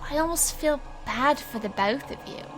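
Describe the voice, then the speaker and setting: A woman's voice: sits high, lively intonation, moderate pace, faint music behind. A woman playing a defiant, sardonic young stage magician, telling her story in a dry, controlled way in an audio drama. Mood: defiant, scornful.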